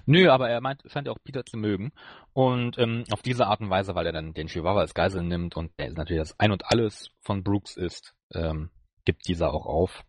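A man's voice: low voice, fairly quick, no room sound. A man co-hosting a podcast, explaining and reviewing in casual chat. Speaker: podcast co-host.

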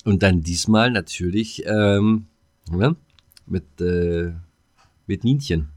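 A man's voice: sits low, slow pace, darkish tone, no room sound, clean studio sound. A man, co-hosting a casual podcast in a blunt, colloquial way, sometimes talking while eating. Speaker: podcast co-host.

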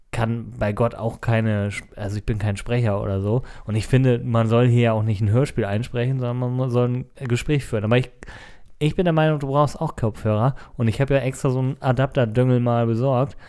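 A man with a soft, mellow voice of middling pitch co-hosts a casual podcast. He explains things conversationally and sits close to the mic. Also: steady tone, fairly quick, smooth. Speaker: podcast co-host and recording engineer. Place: seated at a table. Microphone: close podcast microphone at a table.

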